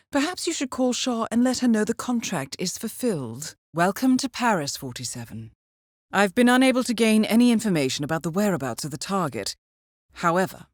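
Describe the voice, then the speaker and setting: A woman playing a cool, composed intelligence handler in a video game, giving mission briefings in a measured, formal, dryly witty manner. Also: mid-range voice, dry recording, studio quality.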